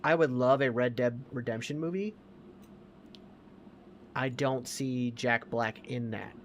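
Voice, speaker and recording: Man, mid-pitched voice, casual podcast co-host, emphatic, opinionated, faint background noise. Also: steady tone, slow pace, lo-fi sound.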